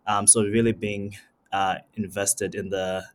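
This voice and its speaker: A young man from Zimbabwe, a startup founder answering interview questions in a casual, halting way with many ums and uhs. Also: fairly low pitch, little pitch movement, smooth. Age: young adult. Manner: hesitant, many ums and uhs, repeats words.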